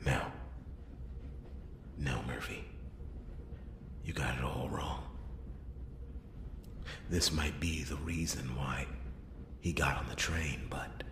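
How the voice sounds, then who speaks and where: A man voicing a brooding noir detective in a hushed ASMR roleplay, narrating a murder mystery in a breathy near-whisper. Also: very deep voice, fairly even intonation, heavily acted. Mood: suspicious, ominous. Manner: slow, deliberate, hushed storytelling. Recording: roomy echo, clear background noise.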